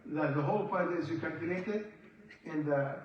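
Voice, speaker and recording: A man's voice: on the high side, fairly quick. A man teaching a religious class, quizzing his listeners and repeating key words with emphasis. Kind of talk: informal question-and-answer lesson. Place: mosque prayer hall. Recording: echoey hall, far from the mic, dull.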